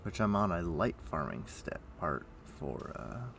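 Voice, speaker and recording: A man's voice: sits low, very animated, measured pace, creaky, a bit bright. A man chatting casually as he streams a game, rambling and thinking aloud. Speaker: livestream host. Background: music.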